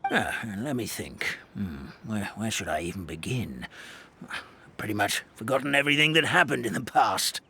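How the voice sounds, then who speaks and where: Dithering, bright-voiced old hamster elder. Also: male voice, lowish voice, lively intonation, normal speed, creaky, a little hiss or hum, full character voice. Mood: flustered, wistful. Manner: courtly, dithering, flustered. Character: forgetful, fussy old paper hamster elder, male. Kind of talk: video game voice acting.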